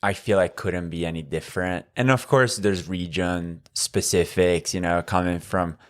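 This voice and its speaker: A man in his thirties from Northern Italy, speaking English with an Italian accent as a podcast guest, measured and full of fillers. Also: low-pitched, fairly even intonation. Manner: measured, with frequent fillers and restarts. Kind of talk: conversational interview.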